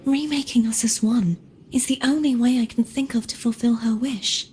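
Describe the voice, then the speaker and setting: A woman playing a gentle, resigned, self-sacrificing young woman in a video game, speaking softly and breathily with solemn, wistful deliberation. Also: a little high, fairly even intonation, moderate pace, a little hiss or hum, close to an everyday voice. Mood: sorrowful, at peace. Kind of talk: dramatic video game voice acting.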